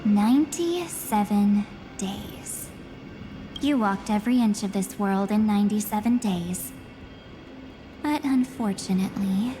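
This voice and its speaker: Game villainess, played by a woman: grandiose seductress, unhurried and menacing, in long reverb over music. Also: mid-range voice, mellow, natural voice. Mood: ominous, cold. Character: menacing, seductive, grandiose female keeper of memories. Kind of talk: theatrical video game voice acting.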